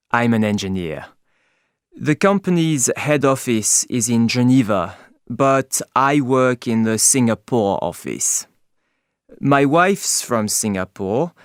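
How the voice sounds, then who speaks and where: A man from Switzerland, introducing himself in a plain, steady scripted way. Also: medium pitch, clean tone, no echo, studio quality.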